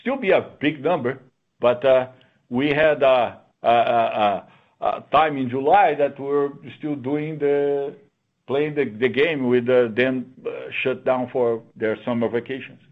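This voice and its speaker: A man with a mid-pitched voice on a narrow, phone-like line. He talks as an executive answering questions unscripted, halting and doubling back on words, but emphatic and sure of himself. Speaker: company executive answering analysts. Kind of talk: unscripted earnings call answers. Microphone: conference call line.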